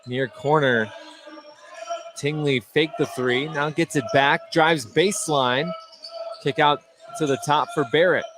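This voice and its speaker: A man with a slightly high voice delivers live basketball play-by-play for radio. Clear gymnasium noise and bouncing balls sit behind him as he tracks each pass and shot. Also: fairly even intonation, takes it slowly, pauses often. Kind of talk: live sports radio commentary. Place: indoor high school gym during a game. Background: game noise, bouncing basketballs.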